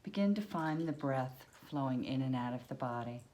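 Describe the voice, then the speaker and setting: A woman leading a guided yoga nidra meditation, speaking unhurriedly in short phrases with long pauses between them. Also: extremely low pitch, lively intonation, dark tone, slight background noise.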